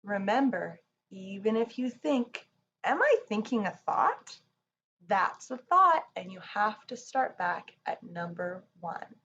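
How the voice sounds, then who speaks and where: A woman speaking to children in a gentle, measured, soothing way, as in a read-aloud or guided relaxation. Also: medium pitch, big pitch swings. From Canada. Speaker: children's yoga teacher reading and guiding relaxation. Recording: slightly dull sound, faint noise.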